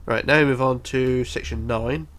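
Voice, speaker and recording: A man's voice: medium pitch, fairly even intonation, moderate pace, a bit bright. A man with a bunged-up, congested voice giving a casual step-by-step building tutorial, counting aloud methodically. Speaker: tutorial host.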